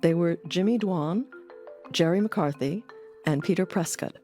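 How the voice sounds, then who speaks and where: A woman's voice: lowish voice, measured pace, mellow, soft background music. A woman hosting an audio documentary, delivering scripted narration. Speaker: documentary host.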